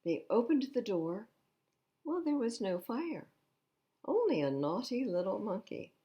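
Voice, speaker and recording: A woman reading a picture book aloud to children at storytime, expressively, pausing to explain the pictures in simple words. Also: a little high, sing-song, dark tone, lo-fi sound. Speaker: library storytime reader.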